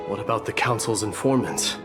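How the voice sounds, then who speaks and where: A man's voice: lowish voice, brisk, lightly acted. Solemn warrior prince voiced by a man, music behind. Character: solemn, proud warrior prince, respectful and restrained. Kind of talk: video game voice acting.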